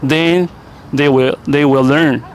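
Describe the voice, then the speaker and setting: A man's voice: a little high, fairly even intonation, slow, a crowd in the background. A man interviewing people on the street, friendly and agreeable, in simple English. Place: city street outdoors.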